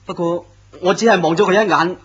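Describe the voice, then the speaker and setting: A man's voice: high-pitched, fairly even intonation, fairly quick, a bit bright, slightly rough. A man playing a nervous, polite office clerk. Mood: deferential. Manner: deferential, anxious. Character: nervous, polite young male office worker. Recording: dull lo-fi recording.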